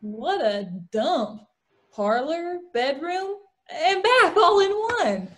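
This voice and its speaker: A woman's voice: very high-pitched, big pitch swings, slow, a bit bright, heavily acted. A woman playing a brash, good-natured young vaudeville showgirl with a theatrical, wisecracking manner in a play reading. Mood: sardonic. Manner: theatrical, sarcastic, mock-posh asides. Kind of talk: play table read.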